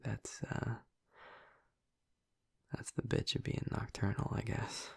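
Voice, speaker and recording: Man playing a breathy, slow-talking gentle vampire. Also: on the low side, no room sound, heavily acted. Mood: tender, apologetic. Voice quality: breathy, gravelly murmur. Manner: soft, hesitant, halting, reassuring. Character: gentle, flirty male vampire, tender and earnest. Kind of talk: intimate audio roleplay addressed to the listener.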